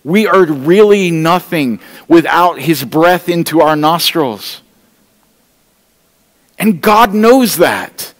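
A middle-aged man preaches to his congregation in an earnest, pleading tone, his voice sitting a bit high for a man. The sound carries the long reverb of a church hall. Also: lively intonation, takes it slowly. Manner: walks the stage holding an open Bible. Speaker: pastor. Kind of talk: sermon. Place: church stage, large room indoors.

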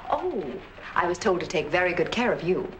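An actress playing a kindly, cheerful young clinic nurse in a 1940s film. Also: female voice, on the low side, very animated, a little fast. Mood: pleasant. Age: young adult. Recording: old 1940s film soundtrack, faint noise.

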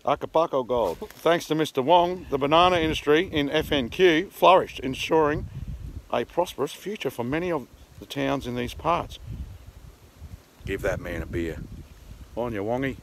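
Middle-aged Australian man, hearty and crude, mid-low voice, outdoors, a little background noise. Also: expressive, normal speed, vocal fry, poor audio. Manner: hearty, swears, rates beers, mock-ad narration. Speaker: pub crawl channel co-host. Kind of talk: casual beer review chat. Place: outdoors in dry bushland.

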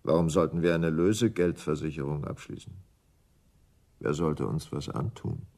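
A gravelly, bewildered husband. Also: male voice, a bass voice, moderate pace, dark tone, slight background noise. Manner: hesitant, puzzled. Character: bewildered, hesitant husband questioned by police. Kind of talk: German radio crime drama acting.

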